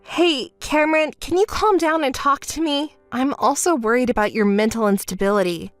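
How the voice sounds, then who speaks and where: A woman voicing a calm, fed-up young woman who answers in a level, dry, scolding way in a dramatized story. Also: sits high, moderate pace, no room sound, faint music behind, voice pushed down, lightly acted. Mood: cool.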